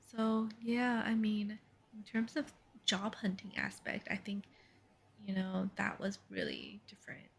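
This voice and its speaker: A woman's voice: medium pitch, sing-song, unhurried, creaky, a little hiss or hum. A woman in her twenties from Taiwan with a North American accent, chatting casually as a podcast co-host, full of fillers and quoted asides. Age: 20s. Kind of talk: casual chat.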